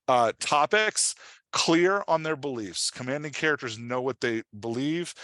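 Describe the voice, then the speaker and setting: A man's voice: mid-range voice, fairly bright tone, no echo. A man giving an informal workshop as a writing coach, explaining with examples and often checking in with the listener. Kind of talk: informal instructional presentation.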